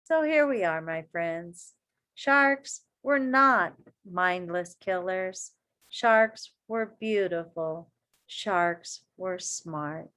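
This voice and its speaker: A woman reading a children's story aloud like an elementary teacher, expressive and encouraging, with deliberate emphasis and asides to her young listeners. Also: on the low side.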